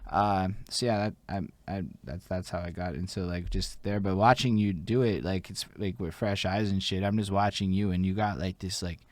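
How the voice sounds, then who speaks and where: A man's voice: sits low, fairly even intonation, normal speed, few pauses, darkish tone, dry recording. A man co-hosting a podcast, talking in a rambling, hesitant way with lots of fillers. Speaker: podcast co-host. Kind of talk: casual interview chat.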